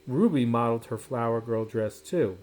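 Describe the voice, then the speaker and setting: Man reading a picture book, very animated pitch. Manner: animated, dramatic pauses and drawn-out words. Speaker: storybook reader. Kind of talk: expressive children's picture book read-aloud.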